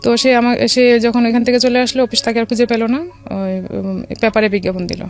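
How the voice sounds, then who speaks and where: A woman's voice: on the high side, level tone, fairly quick. A middle-aged woman with a Bangladeshi accent tells a personal story in a radio interview, conversationally, with some English words mixed in. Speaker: guest telling her life story. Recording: music behind the voice. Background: background music.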